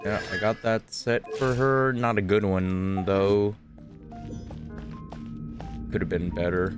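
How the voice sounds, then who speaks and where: A man offering laid-back commentary as he plays a video game, speaking slowly in a low voice with loose, mumbled asides. Game music runs clearly behind him. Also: steady tone. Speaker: gaming streamer. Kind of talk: casual gameplay commentary.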